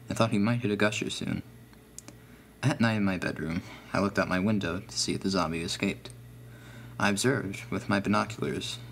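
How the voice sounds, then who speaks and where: A man reading a scripted first-person horror story in a deliberate, ominous manner. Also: lowish voice. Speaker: narrator. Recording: faint hum behind voice.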